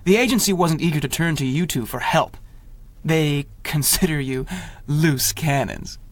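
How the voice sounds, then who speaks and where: An actor playing a proud, skeptical young inventor and adventurer in a 1930s radio drama, speaking formally and with self-importance. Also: male voice, on the high side, moderate pace, dry recording, natural voice. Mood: grave.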